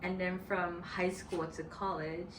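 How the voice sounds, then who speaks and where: A young woman, chatting casually as an interview guest, relaxed and laughing often. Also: fairly low pitch, a little slow. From Japan and the United States. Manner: relaxed, laughs often, uses fillers like um and kinda. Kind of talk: casual chat. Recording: echoey room, distant mic, noisy background.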